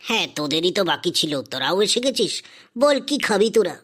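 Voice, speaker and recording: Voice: medium pitch, big pitch swings, quick pace, a bit bright. A performer voicing a teasing, indulgent grandfather in a Bengali comedy cartoon. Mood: indulgent grumbling. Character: grandfather treating children at a village fair.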